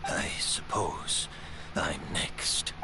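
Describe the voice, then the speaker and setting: An actor playing a gentle, resigned older master in a video game, speaking softly and with measured weariness. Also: male voice, in a whisper. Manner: slow, measured, soft-spoken. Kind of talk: dramatic game dialogue. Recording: noisy game livestream capture.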